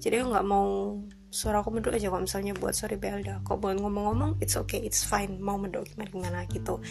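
A woman in her twenties with a light Javanese accent, hosting her own podcast in a chatty, self-deprecating way and dropping in English phrases, her intonation a little flat. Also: medium pitch, quick pace, vocal fry. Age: 20s. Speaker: podcast host. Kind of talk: casual solo podcast monologue. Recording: music playing under the voice.

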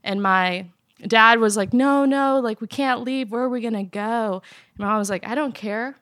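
Young female guest from Afghanistan, smooth clear voice, dry close sound, earnest and steady. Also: fairly high pitch, normal speed, dry recording. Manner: earnest, steady, soft laughs. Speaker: interview guest. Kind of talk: personal storytelling in an interview. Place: apartment in Nashville.

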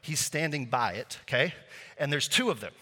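A man's voice: medium pitch, lively intonation, normal speed, bright, forceful tone. A male pastor preaching a sermon, telling a story in a casual way with many fillers and restarts. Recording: long hall reverb.

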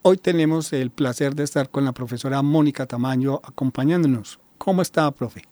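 A man's voice: mid-range voice, moderate pace, dark tone, no room sound. A man hosting and introducing a radio programme. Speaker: host.

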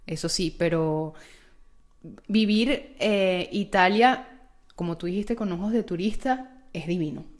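A woman's voice: fairly low pitch, measured pace. A Venezuelan woman with a Venezuelan Spanish accent, chatting casually and playfully as a podcast co-host, with lots of informal slang. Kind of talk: casual, gossipy podcast chat.

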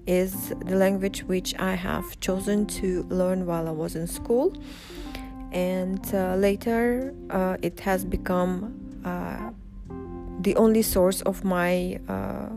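Slow, husky-toned woman. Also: fairly low pitch. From Uzbekistan. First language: Russian. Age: 40s. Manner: unhurried and reflective, with frequent pauses. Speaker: vlogger. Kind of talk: personal storytelling vlog. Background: background music.

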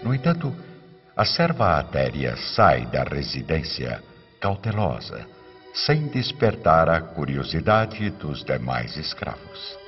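A man's voice: very deep voice, very animated, takes it slowly. A man narrating a radio soap opera from a script in a solemn, theatrical, dramatic style. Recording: dull, reverberant radio recording with music.

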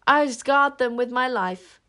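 A woman voicing a small, excitable young sprite with a squeaky, eager voice. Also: measured pace.

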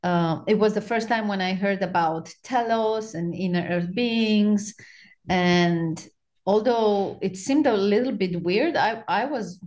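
A woman's voice: medium pitch, slow pace, darkish tone. A woman from Romania with a Romanian accent, a podcast guest telling her personal story in casual conversation. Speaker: podcast guest, spiritual practitioner.

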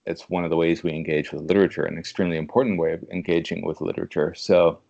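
A man speaking as a literary translator in a conversational interview, thoughtful and halting in a measured way. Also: deep voice, level tone, vocal fry, darkish tone, dull audio. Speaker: podcast guest, literary translator.

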